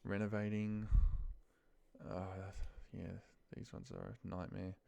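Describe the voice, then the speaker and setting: A man's voice: deep voice, flat delivery, slow pace, vocal fry, a little mellow. A man talking through a screen recording informally, thinking aloud and muttering to himself in an offhand way. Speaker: office worker recording a spreadsheet walkthrough.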